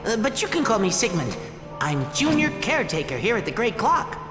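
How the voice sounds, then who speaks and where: A man's voice: sits high, huge pitch swings, brisk. A man playing a nervous, fussy junior robot caretaker in a video game, flustered and apologetic. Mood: flustered, eager. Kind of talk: video game cutscene acting. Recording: reverberant hall, very loud music behind.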